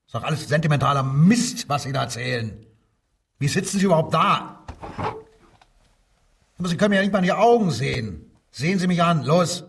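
An actor playing a bullying, sarcastic Nazi-era police commissioner interrogating a suspect in a radio crime drama, with a husky voice. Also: male voice, a little high, lively intonation, a little fast, pauses often, a bit bright, distant mic, full character voice. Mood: angry, impatient. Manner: commanding, sneering, sarcastic.

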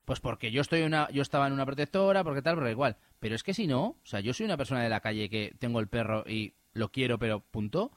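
A man telling an animated, indignant personal story in casual radio chat, with many restarts. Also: mid-range voice, quick pace, few pauses, no room sound. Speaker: radio host.

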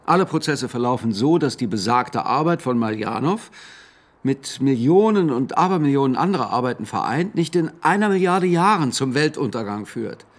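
An actor playing a sober, philosophical man in a radio drama, speaking in a composed, deliberate, lecturing way. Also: mid-range voice, expressive. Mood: grave, explanatory. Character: sober, philosophical male friend, calmly explaining grim cosmic laws. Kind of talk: German radio drama dialogue.

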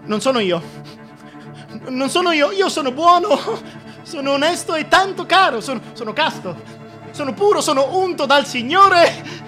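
A man's voice: expressive, moderate pace, faint music behind, heavily acted. An actor playing a vain, self-pitying tyrant king in a theatrical, declamatory radio drama monologue, volatile and contemptuous. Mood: indignant, pleading. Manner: declamatory, volatile, contemptuous, swinging from hushed to shrill.

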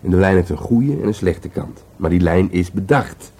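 A musing police detective in an intimate radio drama. His voice is low, dark and husky. He talks in a hesitant, wry way, as if thinking aloud. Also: lively intonation, normal speed, heavily acted. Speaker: actor in a radio play. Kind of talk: Dutch radio drama dialogue.

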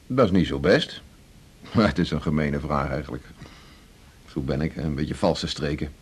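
An actor in a 1980s Dutch radio drama playing a blunt, teasing middle-aged senior doctor, brusque and bossy. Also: male voice, a bass voice, moderate pace, husky. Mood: teasing, testing.

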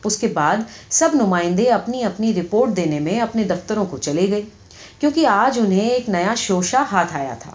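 Woman, audiobook reader, scripted story narration, medium pitch, slightly dull lo-fi sound. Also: lively intonation, darkish tone.

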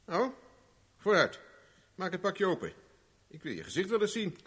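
An actor playing a cocky, shrewd private investigator in a 1970s radio play, mocking and self-assured. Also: male voice, high voice, sing-song, normal speed, fairly bright tone, breathy edge, long reverb tail, heavily acted. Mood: mocking, confident.

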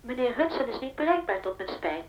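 An actress playing a formally polite station telephone operator in a radio play, heard as a telephone voice. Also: female voice, on the high side, big pitch swings, brisk, highs cut off. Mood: polite refusal.

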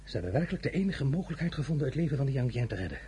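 A man acts out an imperious, ambitious male ruler in a radio drama, with a Dutch accent. His voice swings widely between crisp command and soft, suave condescension. Faint noise sits behind him. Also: medium pitch, very animated, racing, close to an everyday voice. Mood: probing, amused. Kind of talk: radio play acting.

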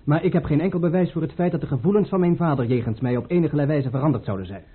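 A proud wary prince, very husky, formal, muffled old radio drama sound. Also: male voice, on the high side, fast talker. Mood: guarded. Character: proud, suspicious young crown prince, the tsar's son. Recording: muffled, phone-like old radio play recording.

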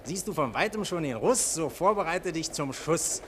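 A jovial salesman with a highish, swooping voice played by a man. He gushes and declaims proudly, in a 1940s radio play with faint recording noise. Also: animated, moderate pace, natural voice. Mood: declaiming. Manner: gushing, declamatory. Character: jovial, boastful travelling salesman in patriotic raptures.

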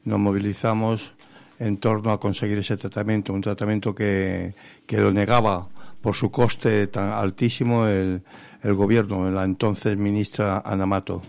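A man from Spain, an activist guest on a radio interview, giving an earnest explanatory account with halting pauses. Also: fairly low pitch, little pitch movement, gravelly, darkish tone, dry recording. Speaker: interview guest, patients' association vice president and activist. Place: radio studio.

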